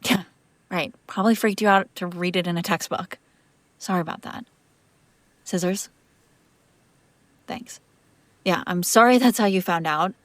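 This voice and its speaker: A woman's voice: on the low side, unhurried, long pauses, dry recording, natural voice. A woman playing a female vampire master, an exasperated but protective caretaker, in a conversational, sardonic audio roleplay. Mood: calmer, explaining. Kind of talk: intimate audio roleplay acting, one side of a conversation.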